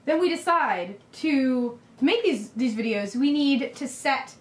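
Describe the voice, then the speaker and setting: High-voiced, playful woman in an echoey room. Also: expressive, takes it slowly. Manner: playful, giggly, interjecting. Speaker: co-host of a YouTube channel. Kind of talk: casual improvised storytelling chat. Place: indoors, in a room set up for filming. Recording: roomy echo, faint noise.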